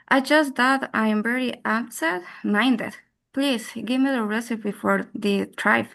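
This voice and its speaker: Vain young wife hiding her ineptitude, female actor: flustered, slightly high, creaky, amateur drama. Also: fairly bright tone, heavily acted. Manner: pleading, flustered. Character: vain, anxious young wife faking cooking skill.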